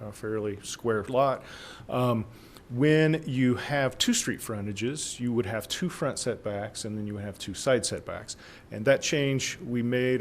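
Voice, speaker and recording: A hoarse man with a cold, presenting zoning changes at a city council meeting in a halting way, with many fillers and restarts. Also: mid-range voice, a little hiss or hum. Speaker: city planning staff presenter. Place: council chamber.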